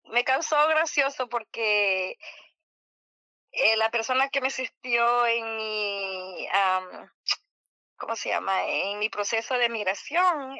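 Slow-talking Salvadoran woman guest, bright voice. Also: a little high, no echo, poor audio. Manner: hesitant, many fillers. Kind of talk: casual radio interview.

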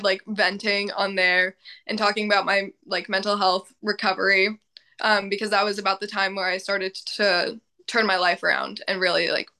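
A woman's voice: medium pitch, bright, forceful tone. A young woman guest talking casually in a podcast interview, with many fillers and frequent laughs. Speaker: podcast guest, mental health advocate.

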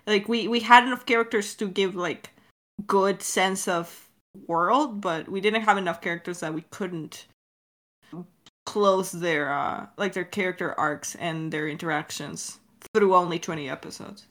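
A woman in casual, unscripted chat with a co-creator, giggly and teasing, with an expressive way of talking. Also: fairly low pitch, measured pace. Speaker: audio drama creator answering listener questions.

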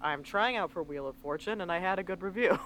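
A woman's voice: fairly low pitch, fast talker, fairly bright tone, a little hiss or hum, rough recording. A woman co-hosting a podcast in casual chat, swearing a lot and laughing often. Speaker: podcast co-host.

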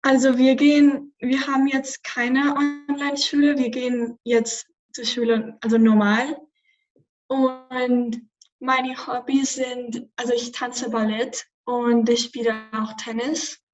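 A woman's voice: a little high, flat delivery, takes it slowly, a little mellow, far from the mic, highs cut off. Hesitant teen girl with Spanish accent. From Spain. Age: teens. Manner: hesitant, many fillers. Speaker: student podcaster. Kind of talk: casual chat.

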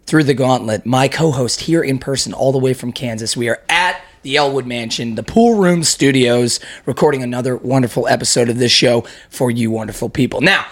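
A man hosting a podcast, his voice hoarse, chatting in an upbeat, mock-grand way with plenty of swearing. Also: medium pitch, brisk, hardly any pauses. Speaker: podcast host. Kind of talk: casual crude banter. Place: room in a house used as studio.

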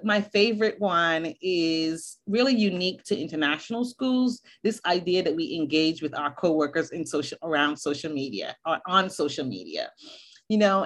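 Woman, middle-aged, school counselor, podcast guest, earnest, measured, somewhat low voice. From Barbados, raised in Boston. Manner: earnest, measured, listing points. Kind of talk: podcast interview.

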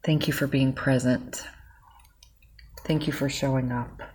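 A woman leading a guided gratitude meditation, speaking softly and soothingly straight to the listener. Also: a bass voice, steady tone, husky. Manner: soft, slow and soothing, speaking directly to the listener.

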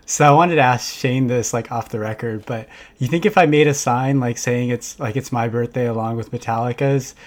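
A man's voice: mid-range voice, moderate pace, few pauses. A man in his forties from Southern California, chatting eagerly and laughing easily as a podcast guest. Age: 40s. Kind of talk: casual chat.

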